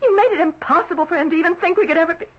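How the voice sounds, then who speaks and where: A woman's voice: extremely high pitch, racing. An actress playing a proud, wounded young woman in an old-time radio drama. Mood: curt, bitter. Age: young adult. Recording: dull, noisy old radio recording.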